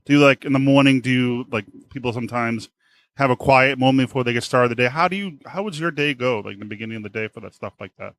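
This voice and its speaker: A man's voice: mid-range voice, fast talker, a bit bright, no room sound. A man hosting a podcast in a casual, informal way, often rambling and rephrasing himself. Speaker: podcast host. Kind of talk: casual podcast introduction and interviewing.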